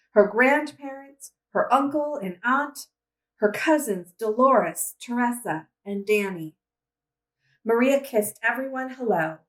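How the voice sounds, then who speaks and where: A woman reading a children's story aloud as narration, with expressive, deliberate phrasing. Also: on the high side. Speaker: storybook reader. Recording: roomy echo, distant microphone.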